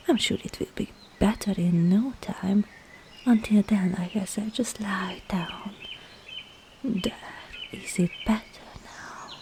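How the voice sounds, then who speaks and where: A woman playing a sly, coaxing witch herbalist in an ASMR roleplay, speaking softly and often slipping into a whisper. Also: mid-range voice, vocal fry, slight background noise.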